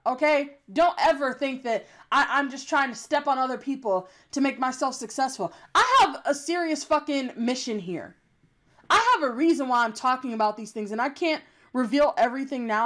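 Young-sounding, high-voiced woman ranting. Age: young adult. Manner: blunt, emphatic, repetitive, swears often. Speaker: YouTube commentator. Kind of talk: unscripted rant, commentary.

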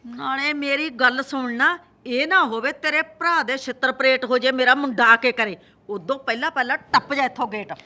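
A woman playing a shrill scolding Punjabi mother-in-law. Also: moderate pace. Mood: taunting. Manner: taunting, shouting, mocking.

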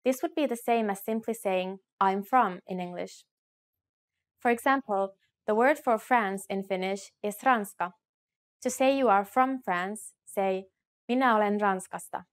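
A young woman reads a scripted lesson straight to camera. She says each phrase slowly and clearly, as a model for learners. It was recorded on a studio mic. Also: mid-range voice. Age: young adult. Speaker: language teacher.

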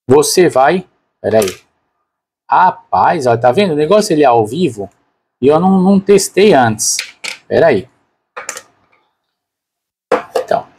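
Higher-pitched Brazilian man, slightly husky. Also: a little mellow. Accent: Brazilian Portuguese. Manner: informal, thinking aloud. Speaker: product reviewer. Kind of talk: casual unscripted unboxing narration.

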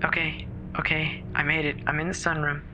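Frightened, bright-voiced woman emergency caller acted out. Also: mid-range voice, level tone, dull audio, soft background music, lightly acted. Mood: anxious, hurried. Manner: halting, fearful, breathy stammering. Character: terrified woman caller alone in a snowbound house. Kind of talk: horror audio drama, dramatic reading.

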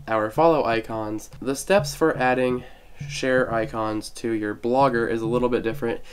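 A man's voice: medium pitch, smooth. A young man getting over a cold, chatting casually through a step-by-step website tutorial with self-deprecating asides. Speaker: tech tutorial host. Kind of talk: casual screen-recorded tutorial.

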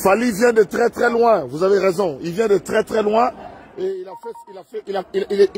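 A man's voice: sits high, fairly quick, a little mellow. A man with a Congolese accent reporting live on the street as a journalist, repeating his phrases insistently. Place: outdoors in a busy arena forecourt at night. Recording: outdoor crowd noise on a live stream.

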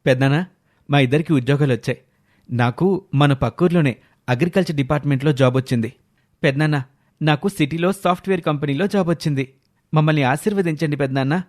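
A man's voice: a little high, quick pace, long pauses, darkish tone, natural voice. A man voicing a respectful, dutiful young man in a family story, polite and earnest, with music behind. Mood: respectful, glad. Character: respectful young adult grandsons, earnest, dutiful, caring brothers.

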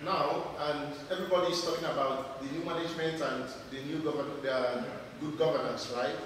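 A young man asks a halting, filler-filled question from the audience, his voice a little raised in pitch. The recording picks him up from far away in a big room. Heavy echo and faint hiss hang around his words. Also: fairly even intonation, fairly bright tone. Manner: hesitant, halting, many fillers. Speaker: audience member asking a question. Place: large lecture room. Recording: echoey hall from far off.

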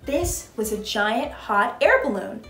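High, very animated woman reading a storybook. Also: slow, soft background music. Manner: expressive, instructive, addressing small children. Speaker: costumed storytime host reading as a princess. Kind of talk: animated children's storybook narration with questions to viewers. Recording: echoey room.

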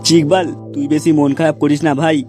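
Man voicing a brash, fast-talking cartoon buddy. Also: a little high, flat delivery, over music, natural voice. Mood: reassuring. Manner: slangy, scolding. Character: brash, foul-mouthed young friend, bossy but reassuring. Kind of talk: comedy cartoon dubbing.

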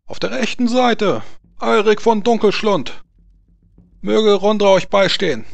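Man as a high, proclaiming tournament herald. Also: gravelly, no room sound. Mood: solemn, proclaiming. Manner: solemn, drawn-out, projected announcing. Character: ceremonial tournament herald announcing jousters. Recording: music playing behind the voice.

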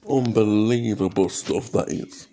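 A man's voice: fairly low pitch, a little slow, dark tone. A young man from England, losing his voice, rambling jokily to camera at home and narrating himself in the third person. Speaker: vlogger. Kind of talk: casual rambling self-commentary. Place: small dim living room at home. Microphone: webcam or phone camera at close range.

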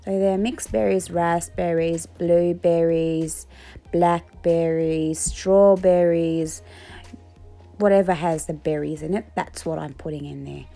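A woman, chatting casually in a home kitchen while cooking and eating, talking in a relaxed, rambling way with many ums. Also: on the low side, takes it slowly, gravelly, soft background music. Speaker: food vlogger. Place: home kitchen and dining table indoors. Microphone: phone on a tripod.

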